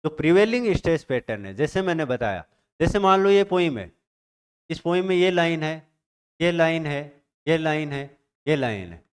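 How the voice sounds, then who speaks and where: A male teacher giving an exam-prep literature lecture, explaining step by step, reading definitions slowly and checking in with his students. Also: fairly high pitch, big pitch swings, smooth. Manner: reads English definitions slowly.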